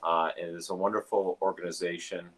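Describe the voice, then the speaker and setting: A minister hosting an online service, giving announcements and introducing a guest in a halting way, with many filler sounds. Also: male voice, low-pitched, level tone, a bit bright.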